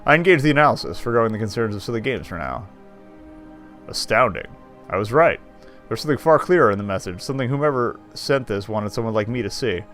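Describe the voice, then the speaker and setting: A man's voice: mid-range voice, lively intonation, quick pace, fairly bright tone, soft background music. A man reading game text aloud and adding wry, casual commentary as a streamer.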